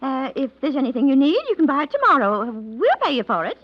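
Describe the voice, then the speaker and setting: High-voiced, greedy, anxious wife. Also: female voice, fast talker. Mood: wary, curt. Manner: sharp, excitable, distrustful. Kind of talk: 1940s radio drama acting. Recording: dull vintage radio recording.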